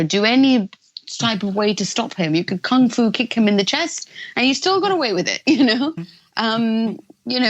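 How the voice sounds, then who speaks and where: A woman's voice: medium pitch, lo-fi sound. A woman in her thirties talking football on a radio phone-in, chatty and enthusiastic, laughing easily. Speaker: football pundit. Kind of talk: casual radio chat.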